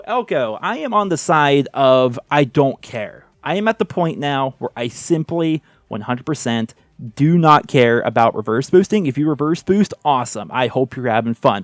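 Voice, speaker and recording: A man's voice: mid-range voice, no echo, clean studio sound. A young man chatting casually as a gaming YouTuber, rambling and candid with lots of "you know" and "right". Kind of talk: casual commentary video.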